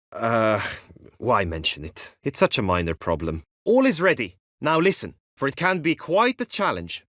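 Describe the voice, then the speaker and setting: Casting: proud, devoted young knight for a fantasy game. Courtly, earnest, very animated pitch, close dry recording. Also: male voice, no echo. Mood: tender, devoted. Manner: earnest, courtly, theatrical, archaic phrasing. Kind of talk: video game character acting, courtly formal speech.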